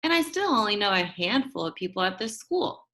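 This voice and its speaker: A woman's voice: mid-range voice, sing-song, a little fast, bright, forceful tone. A woman reads a children's story aloud, telling it expressively and acting out the characters' lines. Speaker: audiobook reader.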